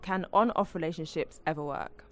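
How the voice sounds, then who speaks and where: A woman co-hosting a podcast, reading a listener's email aloud at a steady pace. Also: sits low, brisk. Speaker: podcast co-host.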